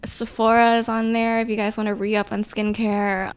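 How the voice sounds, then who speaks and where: A woman's voice: a little high, flat delivery, moderate pace, clear, resonant tone. Chatty woman hosting a podcast. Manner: chatty, casual, swears freely. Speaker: podcast host. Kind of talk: casual podcast chat.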